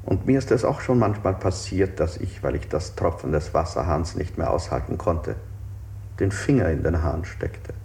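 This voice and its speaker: An actor playing a calm, sinister questioner in a 1960s radio play, speaking in a measured, formal, insinuating way. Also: male voice, low-pitched. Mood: insinuating sympathy. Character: calm, sinister male questioner feigning sympathy with the interrogated.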